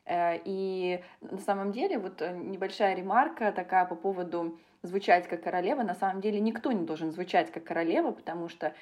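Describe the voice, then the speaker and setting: A woman who coaches English pronunciation, a podcast guest, speaking thoughtfully and pausing with hesitation sounds. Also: lowish voice, a little mellow. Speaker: guest, British accent specialist. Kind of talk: casual podcast conversation.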